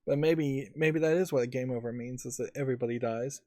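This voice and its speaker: A man hosting a let's play, giving unscripted gameplay commentary in a halting, thinking-aloud manner. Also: medium pitch, dark tone, no room sound, studio quality. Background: faint game music.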